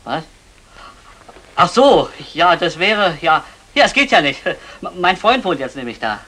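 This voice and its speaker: Shifty, hesitant petty crook. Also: male voice, a little high, very animated, a little slow, bright, forceful tone, full character voice. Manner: hesitant, dry understatement. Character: shifty, evasive small-time crook, hapless and dry. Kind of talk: 1960 German radio comedy acting. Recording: faintly noisy 1960 radio recording.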